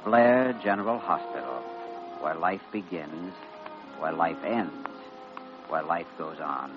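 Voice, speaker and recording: A man's voice: low voice, slow. A man playing a solemn, declamatory narrator of a vintage radio hospital drama. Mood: grave, grand. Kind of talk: 1940s-50s radio narration. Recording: muffled vintage radio, music behind.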